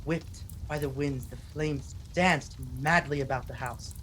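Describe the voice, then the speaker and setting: A man's voice: mid-range voice, a little slow, a bit bright, slight background noise, lightly acted. An actor playing a desperate, obsessed husband who narrates his horror tale in an intense, melodramatic 1940s radio style. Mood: awed, fevered.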